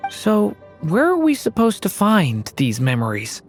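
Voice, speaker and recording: A woman's voice: mid-range voice, huge pitch swings, unhurried. A woman playing an earnest, determined young daughter on a quest in a video game, speaking sincerely. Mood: questioning. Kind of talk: video game cutscene acting. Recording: faint music behind the voice.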